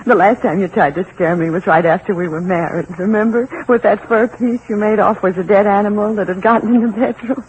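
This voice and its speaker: An actress playing an anxious, trusting, chattering wife in a 1940s radio thriller, with a naive, questioning manner. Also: female voice, medium pitch, brisk, a little mellow, lightly acted. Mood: amused, trusting. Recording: muffled, noisy 1940s radio recording.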